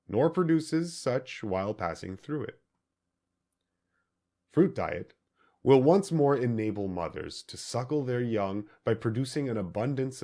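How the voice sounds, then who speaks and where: A man reading scripted audiobook narration in deliberate, measured phrases with emphatic stresses. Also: lowish voice, mellow. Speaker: audiobook reader.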